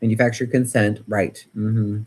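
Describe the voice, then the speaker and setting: An American man hosting a casual livestream, chatting and commenting in an animated, opinionated, rambling way, often repeating words for emphasis. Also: on the low side, normal speed. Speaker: livestream host. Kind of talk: casual livestream chat and political commentary, reading viewer comments.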